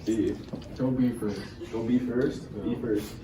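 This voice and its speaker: A man's voice: lowish voice, fairly even intonation, measured pace, crowd noise. A man chatting casually as a guest judge in short remarks. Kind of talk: casual chat. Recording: echoey, distant mic, background noise.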